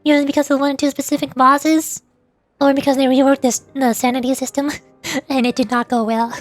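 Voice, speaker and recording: A woman's voice: sits high, flat delivery, brisk. A woman chatting casually on a livestream as a VTuber game streamer, in a giggly, rambling way. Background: faint music.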